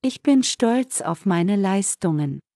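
A woman slowly reading German example sentences for learners with careful, measured delivery. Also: mid-range voice, lively intonation, mellow, no echo, clean studio sound. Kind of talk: slow, clear model sentences for learners.

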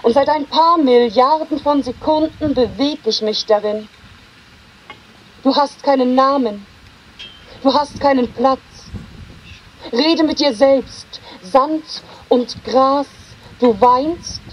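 A woman with a high voice reads poetry aloud at a slow pace. Her phrasing is measured and deliberate, as in a literary reading, with space left between the short lines. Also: slight background noise, poor audio. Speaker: poetry reader.

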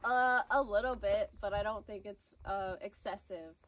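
A woman's voice: medium pitch, moderate pace, fairly bright tone. A woman co-hosting a gear test, giving short, casual, conversational remarks. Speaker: co-host testing gear. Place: outdoor shooting range. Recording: distant mic, clear outdoor noise.

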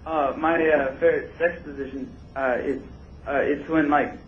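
A man's voice: on the high side, fairly even intonation, moderate pace, slight background noise. Young man on a dull phone line. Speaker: prank caller. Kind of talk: casual prank phone call. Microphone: telephone.

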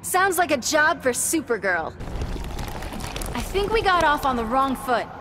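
A woman's voice: high-pitched, big pitch swings, unhurried, background noise. A young woman playing an earnest, defiant, sassy superheroine in a video game, confident and quippy. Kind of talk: video game character acting. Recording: music under the voice.

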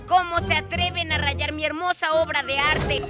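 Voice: sits high, fairly even intonation, moderate pace, over loud music, close to an everyday voice. An actor voicing a vain boy ninja villain in a children's cartoon, boastful and theatrical, with a pompous, commanding way of talking. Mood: indignant. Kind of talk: animated children's cartoon dub.

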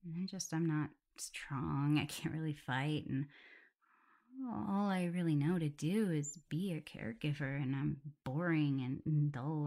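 A woman playing a shy, caring, self-doubting young werewolf in an intimate ASMR roleplay, speaking hesitantly. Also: deep voice. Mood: insecure, hesitant. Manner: hesitant, stammering, soft, breathy whispers at times.

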